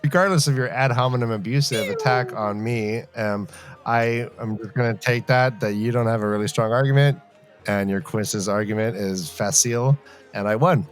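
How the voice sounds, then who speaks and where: Joking man stumbling through animated podcast banter. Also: mid-range voice, normal speed, faint music behind. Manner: animated, joking, prone to stammering false starts and repeats. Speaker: podcast co-host. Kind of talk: casual banter and debate about fantasy books.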